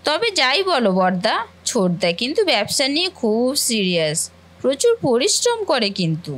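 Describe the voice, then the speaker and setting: Woman acting high, girlish younger sister. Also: very animated, normal speed. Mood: earnest. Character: sweet, pleading young college girl, youngest sister. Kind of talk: Bengali family cartoon voice acting.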